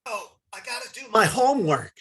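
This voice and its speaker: A man's voice: high-pitched, big pitch swings, a little fast, sharp tone, exaggerated voice. A man playing an earnest schoolboy with simple, childlike phrasing in a readers theatre performance. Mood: hurried evasive. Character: earnest schoolboy reciting and dodging his mother.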